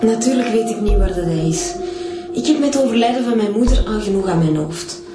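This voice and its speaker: A defensive Flemish woman with a mid-pitched voice, as an actress plays her in a radio drama. She answers curtly in an echoey room with music behind. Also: expressive, brisk, close to an everyday voice. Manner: defensive and curt. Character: defensive woman questioned by police.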